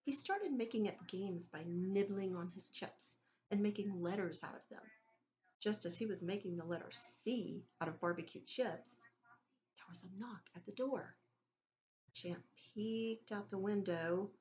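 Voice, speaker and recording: A woman reading a children's story aloud in an expressive, deliberate teacherly way, pausing to put questions to her listeners. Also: mid-range voice, slight background noise.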